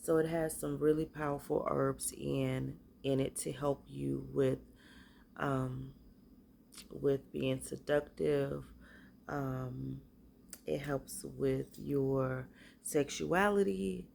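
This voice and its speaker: Slow, gravelly-voiced woman with a stuffy cold. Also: a bass voice, steady tone, a little mellow, a little hiss or hum. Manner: halting, hesitant. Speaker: maker of spiritual bath and body products. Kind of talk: casual product showcase.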